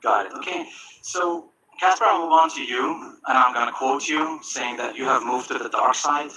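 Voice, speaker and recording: Male moderator, very bright voice, hesitant questions, lots of fillers, faint noise behind. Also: mid-range voice, moderate pace, poor audio. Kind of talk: panel moderation. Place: conference stage.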